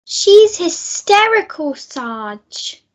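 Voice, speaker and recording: A woman's voice: very high voice, very animated, drawn-out words, full character voice, rough recording. A woman playing a sneering constable in a radio play, mocking and taunting. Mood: dutiful. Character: sneering constable, loyal sidekick to the sergeant.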